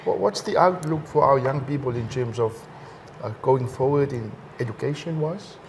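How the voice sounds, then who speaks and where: A man hosting an interview, friendly and halting, restarting his questions as he goes. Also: medium pitch, a little hiss or hum. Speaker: host. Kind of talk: casual interview talk.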